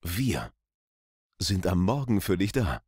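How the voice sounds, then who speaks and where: A man voicing a friendly, smooth radio station promo announcer with deliberate emphasis, close on a studio microphone. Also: deep voice, very animated, a little mellow, no echo, heavily acted. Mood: friendly, upbeat. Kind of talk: scripted radio promo read.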